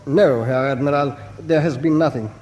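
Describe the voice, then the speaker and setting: In long reverb, a man plays a German naval lieutenant in a war drama. His voice is somewhat high, and he reports formally and precisely, always deferential. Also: normal speed, darkish tone, a little hiss or hum, close to an everyday voice. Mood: dutiful. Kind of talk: full-cast radio drama acting.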